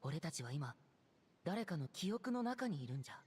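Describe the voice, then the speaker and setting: Casting: level-headed young adventurer, measured reasoning, brisk pace. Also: male voice, fairly high pitch, very animated, darkish tone, slight background noise. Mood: pondering. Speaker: game character. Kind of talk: dubbed game dialogue.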